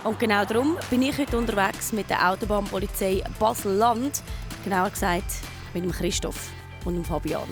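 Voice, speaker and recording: A woman with a Swiss German accent narrates a children's documentary as its presenter. Her mid-pitched voice reads a script, with clear music running underneath. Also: moderate pace.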